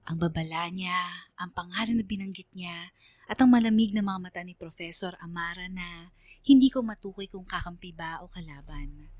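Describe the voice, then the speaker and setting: A Filipino woman voicing a haunted letter sender, narrating a first-person radio horror story in a dramatic, brooding way with hushed drops. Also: on the low side, no echo, close to an everyday voice.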